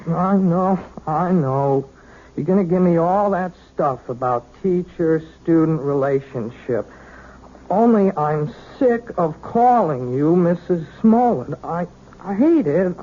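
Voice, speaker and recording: An actor playing a moody, self-deprecating, lovesick young piano student in a radio drama, halting and earnest. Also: male voice, fairly high pitch, lively intonation, phone-quality sound. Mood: earnest, yearning. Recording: thin, band-limited old radio recording.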